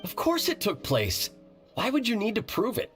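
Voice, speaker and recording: A man's voice: high voice, wildly swooping pitch, moderate pace, a bit bright, heavily acted. A stubborn, insistent young tribesman. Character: stubborn young man, a tribe student certain of legends. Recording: game cutscene with faint music.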